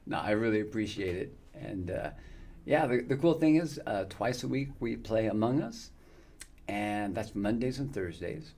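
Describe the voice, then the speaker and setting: A man in his fifties, chatting casually and warmly with viewers on his live gaming stream, rambling with many fillers. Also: fairly low pitch, lively intonation, measured pace, long pauses. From United States. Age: 50s.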